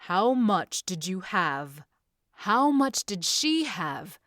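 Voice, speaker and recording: A woman reading as a stern, protective young gentleman in a period story, formal and insistent. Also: mid-range voice, sing-song, takes it slowly, no echo, studio quality. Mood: alarmed, concerned. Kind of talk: audiobook-style character reading.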